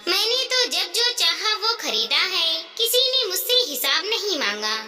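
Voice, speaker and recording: A woman's voice: very high voice, sing-song, measured pace, distant mic, loud music behind the voice, exaggerated voice. A woman voicing a spoiled, stubborn young wife in a moral story, complaining and defiant. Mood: indignant. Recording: long hall-like reverb.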